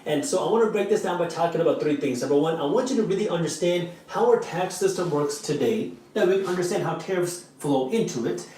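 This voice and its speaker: A man whose first language is Punjabi, working as an attorney, walks through a topic point by point. His voice sits slightly high. It is heard from afar in a very echoey replay. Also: lively intonation, fairly quick, hardly any pauses, mellow, far from the mic, a little hiss or hum. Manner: clear, structured, lecturing. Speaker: attorney explainer. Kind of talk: scripted explainer video.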